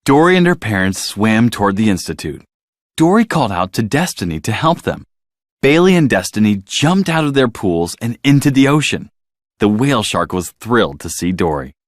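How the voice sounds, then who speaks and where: Guy narrating a bedtime story for kids, really expressive, pitch moves a lot, dry clean sound. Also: moderate pace, dry recording. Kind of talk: scripted children's story narration.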